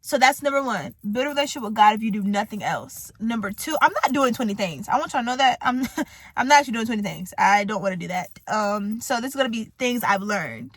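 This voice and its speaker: Chatty young woman vlogging, bright voice. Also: fairly high pitch, expressive, quick pace, husky, no echo. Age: 20s. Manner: chatty, rambling, laughs easily. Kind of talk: casual talk to camera. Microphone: camera mic.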